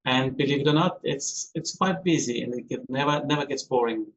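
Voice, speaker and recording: A man's voice: medium pitch, fairly quick, a bit bright. A man in his fifties from Austria, a cruise hotel manager answering interview questions in a chatty way, calling in from a ship. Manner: chatty, with some non-native phrasing. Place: on board a ship, remote call. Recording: slightly dull call audio with faint noise.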